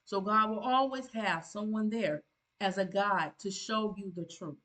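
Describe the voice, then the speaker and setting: A woman's voice: mid-range voice, measured pace, fairly bright tone. A woman, a content creator giving earnest motivational advice in a preachy, emphatic way, often repeating herself and restarting. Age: 50s.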